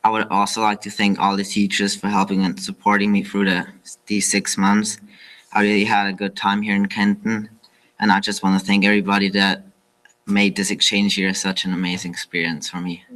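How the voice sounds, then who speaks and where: A teenage exchange student from Austria, giving a measured, formal prepared thank-you speech. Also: male voice, on the low side, monotone, a bit bright, poor audio. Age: teens.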